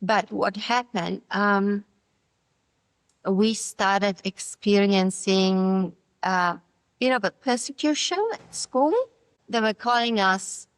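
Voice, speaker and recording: A middle-aged woman with a Polish accent, speaking Polish as her first language, is a guest telling her life story in a thoughtful, halting way over a video call. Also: medium pitch, clean tone, no room sound, low-quality recording. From Poland. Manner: thoughtful, halting, with restarts and fillers. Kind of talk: personal story interview.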